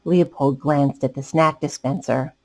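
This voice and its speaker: A woman's voice: sits low, a little slow. A woman hosting children's storytime, reading a picture book expressively and adding asides to young listeners. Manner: animated, addressing young listeners.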